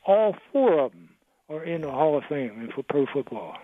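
A man's voice: on the high side, sing-song, normal speed. A man in his eighties calling in to a radio talk show, reminiscing in a rambling way. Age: 80s. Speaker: caller. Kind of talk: phone-in radio call. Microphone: telephone. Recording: tinny phone line, dry.

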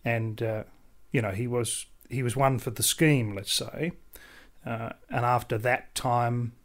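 A young Australian man in his twenties, a podcast guest who talks with rich, formal diction in a measured, articulate way. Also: medium pitch, fairly even intonation. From central New South Wales, Australia. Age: 20s. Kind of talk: casual interview chat.

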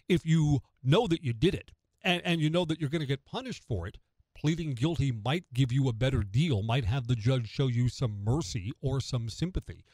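A man's voice: fairly high pitch, darkish tone, no room sound. An American man giving conversational opinion commentary as a radio host, emphatic, asking questions and answering them himself. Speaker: radio talk show host.

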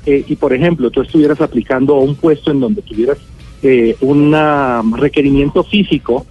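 A man's voice: a little high, husky. A man with a Colombian accent explaining as an expert guest in a radio interview, emphatic and given to everyday examples. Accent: Colombian Spanish. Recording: narrow phone-like line, music.

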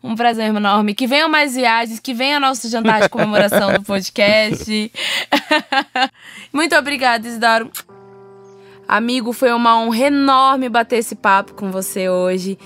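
A woman's voice: on the high side, normal speed, a bit bright, soft background music. A Brazilian woman hosting a podcast in a conversational style, effusive and encouraging. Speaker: podcast host.

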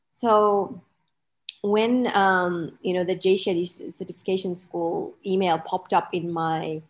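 Reflective woman coach from Malawi. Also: on the low side. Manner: reflective, hesitant, many fillers and restarts. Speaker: relationship coach. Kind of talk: personal story in interview.

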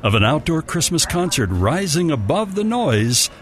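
Highly animated man telling a holiday story. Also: moderate pace, a little hiss or hum. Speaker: storyteller and radio-show narrator. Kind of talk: scripted holiday story narration in old-time radio manner.

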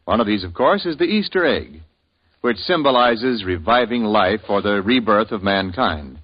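A vintage radio announcer with a mid-pitched, animated voice, reading formal copy in a measured way. The recording has the dull sound of an old broadcast. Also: male voice.

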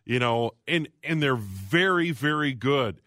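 A man hosting a radio call-in show from a studio, reading out phone numbers slowly and making brisk station announcements. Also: medium pitch, lively intonation, a bit bright, no room sound. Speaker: radio host.

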